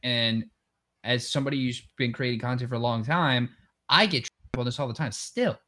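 A man hosting a podcast, speaking in a measured, reflective way as he explains ideas step by step. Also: mid-range voice, sharp tone. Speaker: podcast host. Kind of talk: casual conversation.

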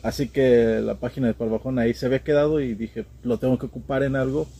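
A man's voice: medium pitch, fairly even intonation, fast talker, dark tone, slight background noise. A young man with a central Mexican accent, telling stories in a casual, slangy way as a podcast guest. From State of Mexico, Mexico. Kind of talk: casual conversational storytelling.

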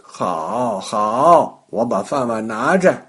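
A man voicing a kindly, playful old grandmother in a children's story, indulgent and unhurried. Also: on the high side, animated, fairly bright tone, voice pushed up, exaggerated voice.

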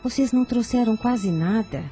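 An actress playing a kind, hospitable older aunt in a radio drama, gentle and maternal. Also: female voice, fairly high pitch, normal speed, faint music behind, lightly acted. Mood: kind, welcoming.

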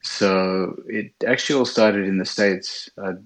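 A middle-aged man with an Australian accent telling his story as an interview guest, speaking in a hesitant, halting way. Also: fairly low pitch, fairly even intonation, rough recording. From Sydney, Australia. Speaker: interview guest, bush pilot.

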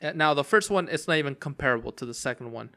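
A man's voice: a little high, expressive, fast talker, pushed and bright. A young man hosting a casual solo podcast about a game, rambling with lots of fillers and restarts, his voice strained and cracking from long talking. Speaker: podcast host.

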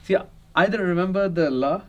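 A high-voiced man hosts a loose, casual conversation. He talks in animated bursts and laughs often while telling stories. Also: fairly quick. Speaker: podcast host. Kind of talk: casual chat.

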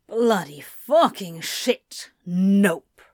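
A woman's voice: medium pitch, lively intonation, drawn-out words, darkish tone, dry recording, clean studio sound. A woman voicing a flustered, defensive, foul-mouthed male supernatural being who mutters and snaps evasively in an audiobook story. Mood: anguished, retreating. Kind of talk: fan fiction audiobook character voice.

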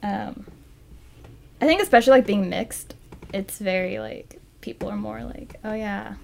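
A woman's voice: medium pitch, a little slow, vocal fry, a little mellow, a little hiss or hum. A woman co-hosting a podcast, telling stories in a giggly way with plenty of fillers. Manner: giggly, filler-heavy storytelling. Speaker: podcast co-host. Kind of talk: casual podcast chat.

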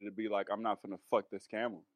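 A man chatting casually on a podcast in an animated, slangy manner. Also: on the low side, fast, no echo. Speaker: podcast panelist. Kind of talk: casual chat.

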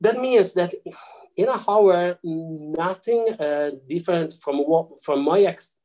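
A man speaking English with an Italian accent explains ideas slowly and carefully. He hesitates constantly, filling gaps with sounds and restarting half-finished phrases. His pace stays unhurried throughout. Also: high voice, mellow, rough recording. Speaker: guest scholar. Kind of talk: explaining physics and theology in an interview.

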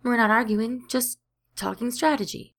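A woman's voice: fairly high pitch, fairly even intonation, fairly bright tone. A woman voicing a hasty, appeasing male underling in an audio drama. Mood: placating. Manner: hurried, appeasing. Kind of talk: audio fiction acting.

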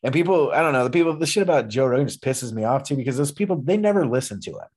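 A man's voice: medium pitch, animated, rapid-fire, darkish tone, breathy edge. A man in his thirties hosting a casual wrestling podcast, ranting in an opinionated, profane way. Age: 30s. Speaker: podcast host.

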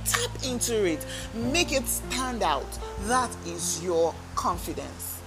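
A woman's voice: mid-range voice, big pitch swings, slow, over music, poor audio. A woman hosting a self-help podcast, talking earnestly and coaching listeners with direct questions. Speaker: podcast host. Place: talking alone into a microphone.

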